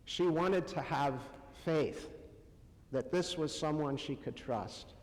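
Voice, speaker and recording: Male preacher, unhurried and halting, fairly high voice, conversational sermon delivery in a long-reverb church space. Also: darkish tone. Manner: halting, pausing often between short phrases. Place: church sanctuary, amplified to a congregation.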